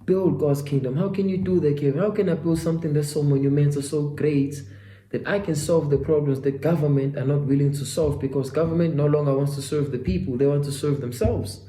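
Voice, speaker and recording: A man's voice: fairly high pitch, steady tone, a little fast, dark tone, reverberant. A man in his twenties giving a faith-driven motivational talk, repeating phrases and calling the listener "my guy.". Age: 20s. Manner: preachy, repetitive, addresses himself and listener as "my guy". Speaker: self-development vlogger. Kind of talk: motivational monologue.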